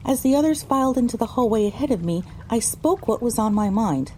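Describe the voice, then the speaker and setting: A woman's voice: fairly high pitch, expressive, a little fast, warm tone, a little hiss or hum. A woman narrating an audio drama in the first person from a script.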